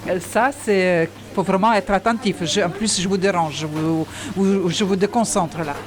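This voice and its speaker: A woman's voice: lowish voice, steady tone, moderate pace, crowd noise. A woman interviewing volunteers on site for radio, hesitant and searching for words as she asks.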